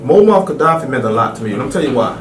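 A man's voice: mid-range voice, big pitch swings, rapid-fire. A man hosting a studio interview, talking emphatically and animatedly. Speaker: host. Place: small TV studio. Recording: echoey studio, distant mic, faint hiss.

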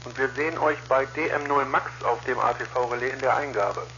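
A man's voice: medium pitch, fairly quick, bright, forceful tone. A man in a casual two-way chat as an amateur radio operator, heard over a radio link. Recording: lo-fi ham radio link with hiss.

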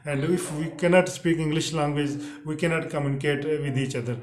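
Man, middle-aged, Urdu speaker, English lesson to camera, many uh fillers, somewhat high voice. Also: steady tone, a little fast, a little hiss or hum. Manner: hesitant, frequent uh fillers and restarts. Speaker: English teacher. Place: indoors, plain teal backdrop. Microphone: camera in front, head and shoulders framing. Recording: echoey room.

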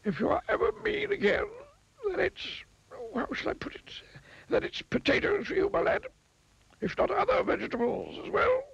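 A man's voice: extremely high pitch, very animated, normal speed, gravelly, a bit bright, highs cut off, voice pushed up, full character voice. A man voicing a pompous, long-winded storybook wizard who is kindly but stern and rambles through qualifications.

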